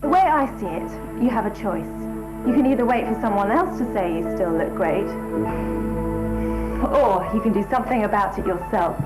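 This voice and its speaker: A woman's voice: mid-range voice, lively intonation, moderate pace, close to an everyday voice, low-quality recording. A woman playing a self-assured woman talking about her looks in a beauty commercial. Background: music.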